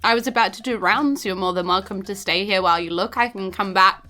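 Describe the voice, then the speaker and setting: Woman playing a bright, brisk, exasperated nurse. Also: medium pitch, soft background music, natural voice. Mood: helpful. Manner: helpful, matter-of-fact. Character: kindly, brisk, slightly exasperated female nurse.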